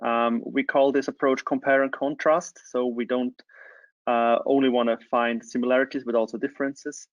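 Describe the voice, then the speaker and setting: Man, mid-pitched, halting webinar presenter, close and dry on lo-fi call audio. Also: level tone, no room sound. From Switzerland. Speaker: change management consultant, webinar presenter. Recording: dull, lo-fi online call audio.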